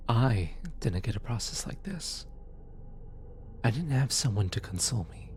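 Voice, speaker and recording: A man playing a gentle, apologetic demon who comforts lost souls in an ASMR roleplay, speaking softly and hesitantly. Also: lowish voice, slight background noise, natural voice. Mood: sombre, confiding. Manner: soft, soothing, hesitant with stammers. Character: gentle, apologetic male demon soul collector comforting the newly dead. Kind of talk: ASMR roleplay audio drama.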